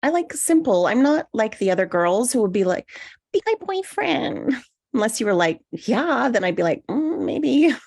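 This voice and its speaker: Lady doing insecure eager girlfriend in her 30s, hesitant, very lively pitch, close dry sound. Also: normal speed, vocal fry, no room sound, full character voice. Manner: hesitant, overeager. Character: eager, insecure woman in her mid-thirties, people-pleasing lover. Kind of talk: screenplay table read.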